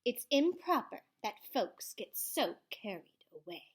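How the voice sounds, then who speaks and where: A woman reads a children's story aloud in a theatrical, expressive way. Her pitch is fairly high and swoops widely. She goes slowly, dropping into hushed tones and swelling again. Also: breathy edge. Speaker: elementary school librarian reading aloud.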